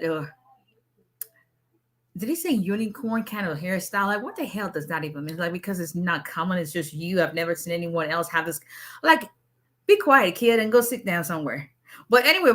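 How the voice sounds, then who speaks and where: Middle-aged woman with a Nigerian-Southern US accent, a lawyer on a casual live stream: bright, punchy tone, fast and chatty. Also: on the low side. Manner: fast, chatty, with frequent asides and laughs.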